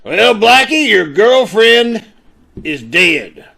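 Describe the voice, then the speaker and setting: A man's voice: high voice, big pitch swings, slow, creaky, sharp tone, exaggerated voice. A man playing a blustering, sarcastic police inspector in 1940s radio detective drama style. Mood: startled, sober. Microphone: video call.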